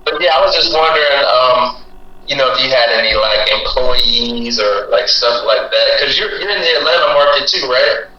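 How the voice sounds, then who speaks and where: A man's voice: mid-range voice, lively intonation, normal speed, bright, forceful tone. A man chatting casually about real estate investing, teasing and joking with the others. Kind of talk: casual chat. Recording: distant, echoey, dull mic.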